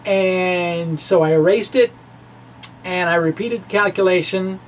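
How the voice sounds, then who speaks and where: A middle-aged man presenting a science blog to camera in an informal, halting, theatrical way, with musing hums. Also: sits high. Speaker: science video blogger. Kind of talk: informal dramatic reading of a physics blog to camera. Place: small indoor room with bookshelves. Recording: clear background room noise.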